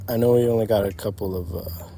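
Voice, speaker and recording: A man's voice: on the low side, fast. A man in his early twenties talking reflectively and casually, feeling out his phrases as he goes, in an unscripted conversation. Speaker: young entrepreneur. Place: outdoors in an empty parking lot. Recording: steady outdoor insect noise. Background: crickets and night insects.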